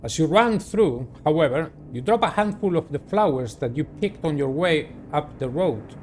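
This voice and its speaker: Animated higher-pitched man reading a story. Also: normal speed, slight background noise. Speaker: gaming video host. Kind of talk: reading visual novel narration aloud with personal commentary.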